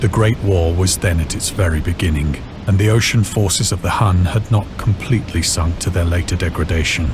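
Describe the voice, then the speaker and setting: A man playing a grave ship officer who recounts his ordeal at sea in formal, measured narration for a video game. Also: low voice, over music, lightly acted. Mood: grave, recounting. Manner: formal, literary, measured storytelling. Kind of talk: scripted dramatic narration in a visual novel.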